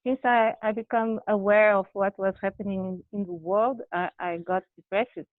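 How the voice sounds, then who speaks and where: Woman guest, halting, restarts, dry tinny call audio. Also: mid-range voice, fairly even intonation, dry recording. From Brazil. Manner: halting, searching for words, frequent restarts. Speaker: interview guest. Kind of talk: candid personal conversation.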